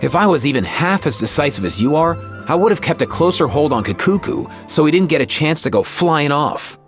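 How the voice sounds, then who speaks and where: A man playing a laid-back, slangy young animal keeper in a video game, with a casual, wry and expressive manner. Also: on the high side, a little fast. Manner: casual, expressive, sighing and chuckling. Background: music.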